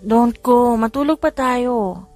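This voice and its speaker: A woman's voice: fairly high pitch, takes it slowly, a little mellow, no echo. A Filipino woman playing a shy, easily flustered young mother in an audiobook romance, tender and hesitant. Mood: sleepy. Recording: music under the voice.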